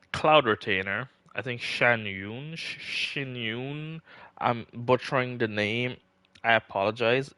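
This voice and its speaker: A male game streamer with a bright, forward voice at a middling pitch. He rambles informally, close to the mic in a very dry space. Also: clear, resonant tone, no echo. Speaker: game livestreamer. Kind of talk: casual livestream chat about game builds.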